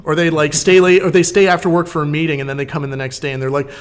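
A man's voice: fairly high pitch, little pitch movement, rapid-fire, clear, resonant tone. A man in casual conversation as a podcast guest host, rambling and slangy. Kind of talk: casual chat.

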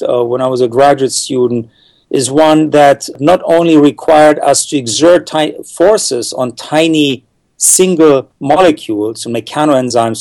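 A man's voice: mid-range voice, a little mellow, no echo, low-quality recording. A middle-aged man from the Czech Republic, a scientist answering interview questions thoughtfully, with many fillers and repeated words. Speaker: neuroscientist guest.

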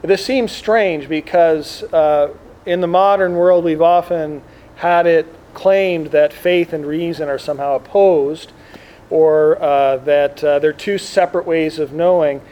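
A man giving an informal religious talk to a gathering as a priest, reflective and halting, speaking up to be heard. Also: on the high side, a little mellow. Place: indoor room with air conditioning. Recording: clear background air conditioner noise. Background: air conditioner noise.

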